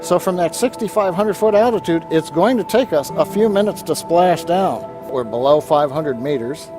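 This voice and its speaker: A man's voice: on the high side, moderate pace, music in the background, a crowd in the background. A man giving live technical commentary as part of a launch broadcast.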